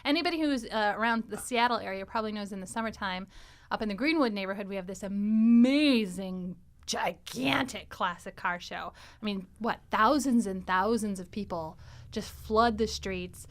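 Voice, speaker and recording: A woman's voice: mid-range voice, normal speed. A woman hosting a casual interview, prompting her guest in a chatty, playful way. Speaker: host, interviewer.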